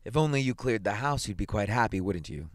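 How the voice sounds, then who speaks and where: A man's voice: on the low side, lively intonation, brisk, no echo, studio quality, lightly acted. A man voicing a cheeky young adult son in a story, with a teasing, flippant manner.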